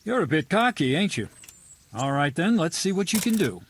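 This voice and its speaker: A man's voice: a little high, big pitch swings, moderate pace, a little hiss or hum. A man playing a stern, demanding medieval swordmaster in a video game, giving blunt, commanding, instructive lines to a pupil. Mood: skeptical, challenging. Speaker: combat trainer.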